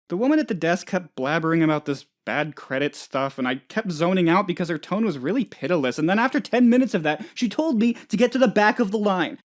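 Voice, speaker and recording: An actor voices a hapless office boss from a comedic video game, full of childish self-importance. The delivery rambles and hesitates, swinging widely in pitch. Worry and whining creep through the thin show of confidence. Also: close to an everyday voice. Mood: dejected, worried. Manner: rambling, hesitant, whiny when upset. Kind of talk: comedic game dialogue, voice lines.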